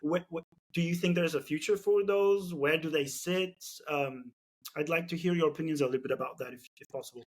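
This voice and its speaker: A man's voice: fairly high pitch, brisk. A man from Turkey, speaking English with a Turkish accent, hosting a casual business podcast and thinking aloud with many fillers and restarts. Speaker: podcast host.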